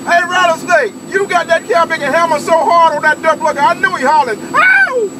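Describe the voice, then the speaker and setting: A man's voice: very high-pitched, lively intonation, brisk, over a noisy crowd. A man bantering on CB radio in a teasing, repetitive, whooping manner. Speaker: CB radio operator. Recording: loud engine-like radio noise.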